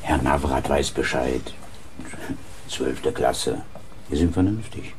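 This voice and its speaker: An actor playing a fussy, pedantic long-serving castle caretaker in a German radio crime drama. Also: male voice, very deep voice, slow. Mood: grumbling.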